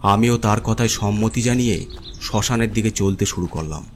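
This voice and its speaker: Low-voiced man narrating a horror story. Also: normal speed, slight background noise.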